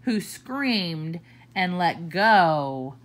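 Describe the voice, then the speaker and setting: Woman, storybook reader for kids, unhurried, big pitch swings, lowish voice. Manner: expressive, slow and deliberate, swooping pitch. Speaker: children's librarian reading aloud. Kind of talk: storybook read-aloud.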